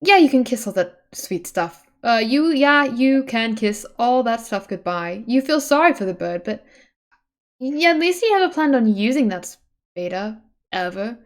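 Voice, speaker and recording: A woman's voice: a little high, expressive, moderate pace. A woman streamer reading a webcomic aloud, mixing dramatic narration with casual, chatty asides.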